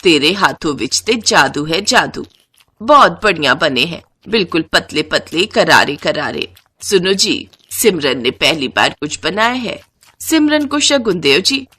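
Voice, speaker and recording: A woman voicing a kindly middle-aged mother-in-law with a Punjabi accent and an affectionate, measured manner. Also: fairly low pitch, sing-song, pushed and bright, breathy edge, pitched below the performer's own voice, full character voice. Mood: approving.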